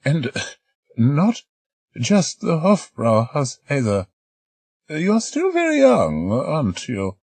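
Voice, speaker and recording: Male narrator doing an elderly grande dame, spry, quick-witted, amused; pitched well above a deep voice, very dry sound. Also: wildly swooping pitch, creaky, breathy edge, no echo, exaggerated voice. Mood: kindly, chatty. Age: older. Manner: brisk, sharp-tongued, amused. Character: spry, teasing elderly woman, grand old stage star.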